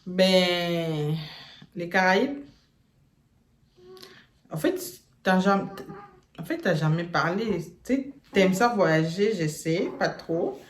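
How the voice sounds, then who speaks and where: A woman's voice: on the low side, expressive, slow pace, pauses often. A woman from Congo chatting and quizzing her partner in a casual game, repeating herself and laughing often. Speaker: channel co-host. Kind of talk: casual quiz game between two people. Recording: echoey room with faint noise.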